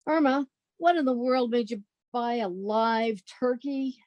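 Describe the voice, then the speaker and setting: A woman's voice: a little high, measured pace, dry recording, highs cut off, lightly acted. A woman acting in an old-time radio comedy as a sensible, theatrically exasperated young working woman with a wry manner.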